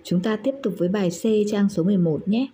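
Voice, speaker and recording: A woman teacher with a Vietnamese accent gives brisk instructions between exercises. Her voice is mellow and slightly husky, and she speaks fast. She sounds a little distant from the mic. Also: mid-range voice. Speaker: English teacher. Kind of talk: quick spoken instructions between tracks.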